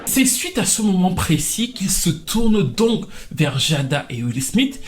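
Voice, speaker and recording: The slightly high-voiced guy fronting an online pop-culture channel, chatting playfully through the latest gossip and sponsor plugs in slangy, colloquial style. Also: very animated, takes it slowly, noisy background. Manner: colloquial, playful, mixes English slang into French. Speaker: online video commentator and host. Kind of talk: casual scripted pop-culture commentary with sponsor read.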